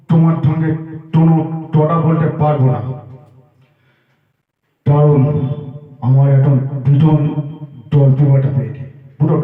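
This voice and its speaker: A man voicing a chatty, earnest two-year-old boy with lisped baby-talk, repeating himself insistently in a comic anecdote. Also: a little high. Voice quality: childish lisped mispronunciations. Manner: repetitive, halting, insistent. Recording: muffled phone-like audio, roomy echo, distant mic.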